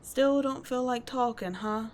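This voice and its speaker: A woman playing a tough but kind teenage delinquent schoolgirl in an audio roleplay, talking casually and bluntly to the listener, with swearing and halting, confiding asides. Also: on the high side, a little mellow, slight background noise, natural voice. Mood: wry, probing.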